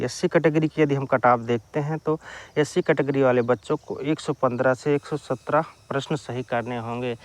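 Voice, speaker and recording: A man's voice: mid-range voice, darkish tone. A man giving an informal explainer to viewers, repeating his phrases for emphasis. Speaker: exam-news YouTuber.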